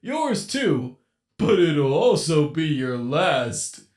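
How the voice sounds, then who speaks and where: A man voicing a menacing animatronic captor in a storybook reading, talking in a slow, mocking, theatrical drawl. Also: on the high side, sing-song, darkish tone, echoey room, voice pushed up, exaggerated voice. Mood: gloating. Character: menacing male animatronic captor, gleeful and cruel.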